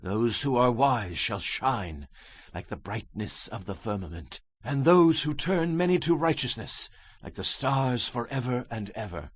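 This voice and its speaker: A man's voice: mid-range voice, animated, a little slow, breathy edge, no room sound. A man performing a solemn prophet recounting apocalyptic visions in a theatrical, emphatic scripture reading. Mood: grave, awed. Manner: solemn, emphatic, theatrical delivery with dramatic swells and drops. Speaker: dramatized audiobook Bible reader.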